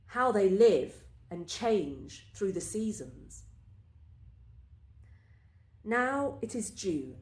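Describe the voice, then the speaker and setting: A woman reading a first-person literary story aloud in a measured, deliberate storytelling manner. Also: medium pitch. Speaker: story reader. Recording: roomy, echoing space.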